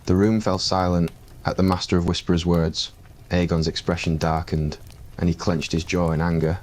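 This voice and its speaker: Male ASMR narrator, very low and steady, calm second-person storytelling. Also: normal speed, a little hiss or hum.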